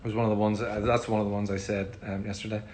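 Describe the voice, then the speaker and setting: Northern Irish musician, middle-aged man, laid-back fan Q&A, slightly low voice. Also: fast talker, a little hiss or hum. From Northern Ireland. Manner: relaxed, chatty, frequent ums. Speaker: musician answering fan questions. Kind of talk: casual livestream Q&A.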